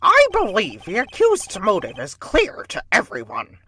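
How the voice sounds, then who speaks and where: A woman's voice: mid-range voice, wildly swooping pitch, normal speed, sharp tone, slightly rough, no room sound, recorded from a distance, heavily acted. Woman playing a smug prosecutor, wildly swooping delivery. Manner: pompous, needling, formal. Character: smug, theatrical male courtroom prosecutor, middle-aged. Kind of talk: game fandub courtroom acting.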